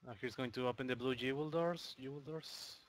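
A man's voice: mid-range voice, fairly quick, a little hiss or hum. A man from Mexico with a Mexican accent, casually explaining a game speedrun as he plays, with loose fillers. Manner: explains while playing, loose and chatty, with fillers. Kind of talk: live commentary on a speedrun.

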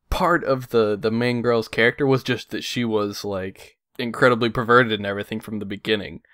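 A man's voice: mid-range voice, fairly bright tone, no room sound, studio quality. A man hosting a casual anime podcast, rambling with lots of fillers and casual swearing. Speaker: podcast host. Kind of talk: casual chat.

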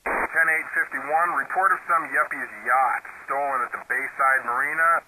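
Wisecracking cop on noisy police radio. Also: male voice, mid-range voice, normal speed. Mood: clipped, businesslike. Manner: wry, deadpan asides between clipped code calls. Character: wisecracking, jaded male police officer on the radio. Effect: police radio filter. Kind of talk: police scanner radio calls.

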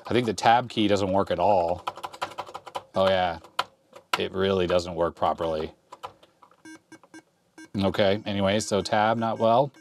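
Low-voiced man demoing an old computer. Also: a little slow, long pauses, slight background noise. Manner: relaxed, thinking aloud while typing. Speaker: retro computer repair YouTuber. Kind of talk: casual hands-on demo talk. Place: cluttered workshop, indoors. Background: keyboard clicks and typing.

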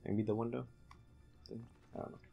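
A young man giving casual, halting, low-key commentary while concentrating on playing a video game. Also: flat delivery, creaky, muffled recording, faint music behind. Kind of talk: casual let's-play commentary.

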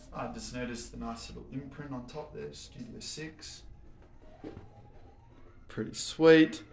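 A man's voice: medium pitch, a bit bright. Echoey man presenting casually. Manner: enthusiastic, informal, explanatory. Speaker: home cinema showroom presenter. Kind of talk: casual product unboxing commentary. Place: showroom warehouse, indoors. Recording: echoey, reverberant indoor room.

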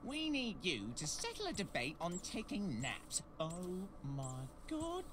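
A voice actor playing chattering, whimsical talking plants who are chirpy and excitable. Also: slow pace. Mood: excited. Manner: chirpy, excitable, swings wildly in pitch. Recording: game audio with clear background noise.

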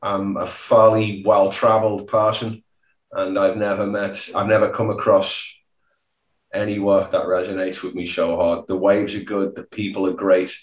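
A man's voice: fairly low pitch, little pitch movement, moderate pace. A man, telling his story as a podcast guest. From Merseyside, northwest England. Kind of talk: relaxed personal storytelling. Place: indoor living room, seated back from the screen. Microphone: video call. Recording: roomy echoing video call.

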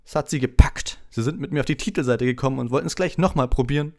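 A man's voice: medium pitch, quick pace, voice pushed up, natural voice. A man voicing a vain, patronizing celebrity wizard professor in a gushing, condescending manner for an audiobook. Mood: smug, patronizing. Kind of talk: audiobook character voice.

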